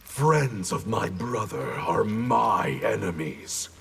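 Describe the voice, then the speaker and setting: A man's voice: fairly low pitch, big pitch swings, takes it slowly, gravelly, fairly bright tone, soft background music, full character voice. A man playing a cold, arrogant ninja clan master in a fighting game, stern and scornful. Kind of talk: video game voice acting.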